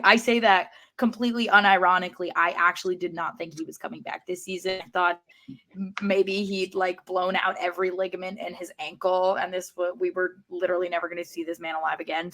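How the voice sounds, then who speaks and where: A woman's voice: fairly low pitch, pushed and bright. A woman chatting casually as a panelist on a sports podcast, leaning on words for emphasis.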